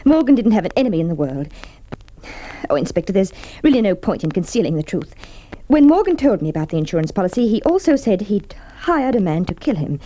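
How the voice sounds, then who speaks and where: Scheming wife on muffled old radio. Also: female voice, medium pitch, big pitch swings, dark tone. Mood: hesitant, earnest. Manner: measured, hesitant, evasive. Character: unhappy, scheming wife questioned over her husband's murder. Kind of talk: Golden Age radio drama acting. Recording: dull, lo-fi old radio recording.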